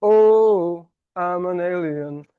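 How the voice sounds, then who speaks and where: A man from Serbia with a Serbian accent, giving a humorous prepared speech at a speaking club in a self-mocking, playful way with halting restarts. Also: sits high, smooth, phone-quality sound. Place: meeting room.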